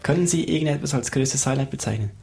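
A man's voice: medium pitch, fast, vocal fry, a little mellow. Guy hosting a radio chat show, polite formal questions, kinda long-winded. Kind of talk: radio interview.

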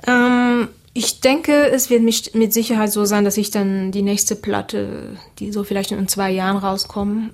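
Woman, flat even speech. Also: medium pitch, measured pace, hardly any pauses. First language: Creole. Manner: relaxed, hesitant with many fillers. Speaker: singer guest. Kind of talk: casual interview answers.

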